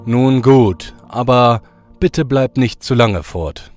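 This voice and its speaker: A man playing a stern, booming ruler of the gods in an audio drama. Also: slow pace, a little mellow, faint music behind, natural voice. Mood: stern concern.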